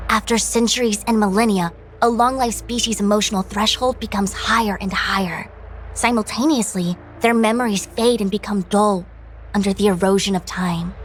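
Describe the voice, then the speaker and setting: A woman's voice: medium pitch, natural voice. An actress voicing an anxious, chatty young female fortune-teller in a video game, dramatic and explanatory.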